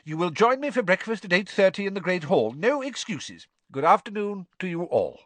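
A man voicing a wise, gently amused old male headmaster with dry wit, speaking in a measured way. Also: sits high, sing-song, dry recording, pitched above the performer's own voice, heavily acted. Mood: wry, kindly.

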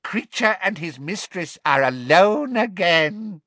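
A man's voice: sits high, big pitch swings, slow, a bit bright, dry recording, voice pushed up, exaggerated voice. A man voicing a spiteful old male house-elf, a gleeful servant in an audiobook story who gloats in the third person. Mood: triumphant.

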